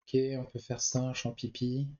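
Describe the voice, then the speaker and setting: A man's voice: medium pitch, monotone, normal speed, a little mellow, dull audio. A man with a cold, thinking aloud as he comments on a card game he plays. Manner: thinks aloud, muttering choices. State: has a cold. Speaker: gameplay commentator. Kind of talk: relaxed let's-play commentary.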